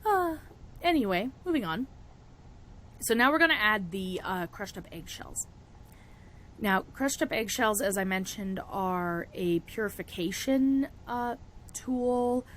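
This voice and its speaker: A young woman whose voice is raspy from a cold talks through a hands-on craft tutorial in a loose, chatty way. She laughs a lot and keeps stopping herself mid-sentence. Her pitch sits in the middle range, and a faint hum can be heard in the background. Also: expressive, slow pace, fairly bright tone. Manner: chatty, laughs often, self-interrupting. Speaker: witchcraft vlogger. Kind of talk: casual tutorial chat.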